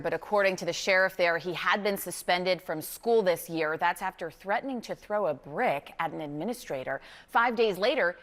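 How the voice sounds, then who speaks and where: American news anchor lady, lowish voice, lots of echoey tail on it. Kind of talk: live news presenting and interviewing.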